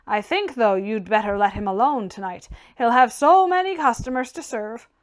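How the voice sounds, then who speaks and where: A woman acts out a brash, teasing bachelor gentleman from a classic novel. The delivery is theatrical and mocking, with emphatic, high-pitched swells. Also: animated, moderate pace, natural voice. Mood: bluff, hearty. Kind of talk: audiobook character dialogue.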